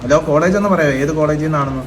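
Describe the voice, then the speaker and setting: A man's voice: fairly high pitch, very fast, lightly acted. A man playing a child helpline officer on a prank call, politely but hesitantly questioning someone about a complaint. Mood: probing, insistent. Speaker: prank caller. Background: loud music.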